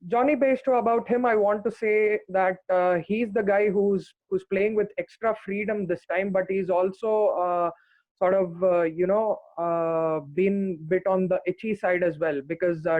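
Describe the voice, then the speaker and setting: A man speaking as a guest on a podcast, talking earnestly and pausing often on "uh". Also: sits high, steady tone, no echo. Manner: earnest, many "uh" pauses. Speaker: podcast guest, cricket fan. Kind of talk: casual podcast chat. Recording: dry tinny phone-like line.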